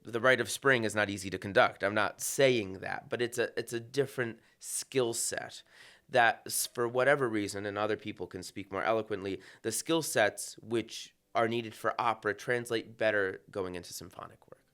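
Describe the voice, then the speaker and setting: Smooth low-voiced man, reflective. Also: fairly even intonation, normal speed, a bit bright. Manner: reflective, many restarts and fillers. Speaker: conductor. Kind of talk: podcast interview.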